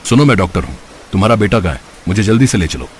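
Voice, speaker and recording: A man's voice: low-pitched, sing-song, racing, a little hiss or hum. A man playing a dutiful, reassuring doctor in a cartoon story. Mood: urgent, helpful. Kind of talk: Hindi cartoon story voice acting.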